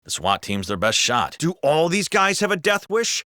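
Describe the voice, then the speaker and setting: An actor playing a jaded, foul-mouthed, sardonic police officer in a video game. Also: male voice, on the high side, big pitch swings, normal speed, bright, forceful tone, dry recording, studio quality. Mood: wry, gossipy. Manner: gossipy, sardonic, swearing. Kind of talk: scripted video game voice acting.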